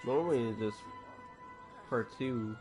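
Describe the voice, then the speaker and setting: A man's voice: mid-range voice, unhurried. A man chatting casually and jokingly in slang as he streams a video game. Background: game music.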